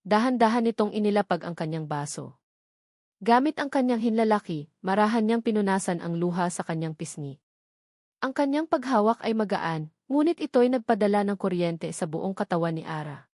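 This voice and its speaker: A woman's voice: medium pitch, animated, normal speed, a little mellow, no echo. Woman narrating a romance, dry recording. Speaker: audiobook narrator. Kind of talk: dramatic scripted narration of a romance story.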